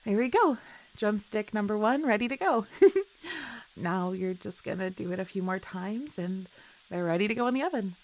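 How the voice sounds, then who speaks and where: A woman's voice: mid-range voice, expressive, moderate pace, natural voice, low-quality recording. A woman playing a chill, flirty best friend with a secret crush in an intimate audio roleplay, speaking in a giggly, hesitant, affectionate way. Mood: upbeat, encouraging. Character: chill, flirty female best friend shyly confessing love. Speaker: voice actor.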